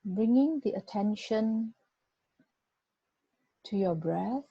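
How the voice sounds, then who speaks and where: A woman guiding a body scan meditation, giving gentle, unhurried instructions with long pauses. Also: mid-range voice, expressive, breathy edge, dry recording. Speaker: meditation guide. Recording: dull, muffled lo-fi recording.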